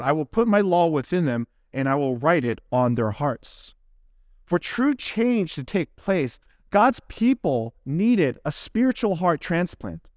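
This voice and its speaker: A man with a notably high voice delivers a sermon. He is earnest and instructive, laying out each phrase deliberately and putting questions to his listeners. The sound is dry, with very little room echo. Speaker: preacher. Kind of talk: expository sermon delivered from prepared notes.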